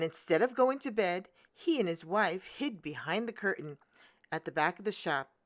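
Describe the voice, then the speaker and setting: A woman narrating a children's storybook as a read-aloud host, in a deliberate, expressive manner. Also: lowish voice, dry recording, studio quality.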